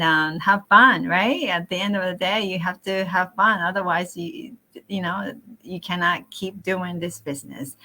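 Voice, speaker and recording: A woman's voice: lowish voice, sharp tone. A woman with a Japanese accent, originally from Japan, hosting a casual group discussion. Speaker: host of a group chat.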